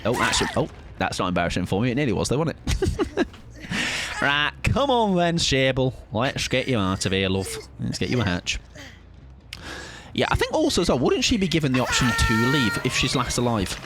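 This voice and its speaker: A man's voice: moderate pace, background noise. A young man streaming a game, chatting casually and playfully with viewers. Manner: chatty, playful, swings into high excited pitch. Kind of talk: casual live-stream chat while gaming.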